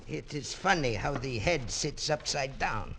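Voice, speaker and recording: Trader, old radio drama, mid-pitched, callous, fussy, halting delivery. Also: male voice, lively intonation, creaky, background noise. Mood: offhand, callous. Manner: hesitant, offhand, halting English. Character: fussy, callous Portuguese trader in colonial Africa. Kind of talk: old-time radio acting.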